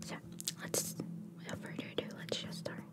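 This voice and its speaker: A woman whispering very close to the microphone as an ASMR host, reading trivia aloud with casual, rambling asides and softly repeated phrases. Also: normal speed. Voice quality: breathy unvoiced whisper. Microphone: very close microphone, cupped by hand.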